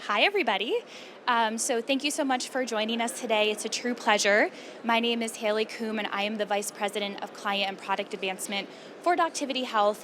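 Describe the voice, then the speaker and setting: A woman, a company vice president, giving a conference pitch in a chatty, conversational way. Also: background noise.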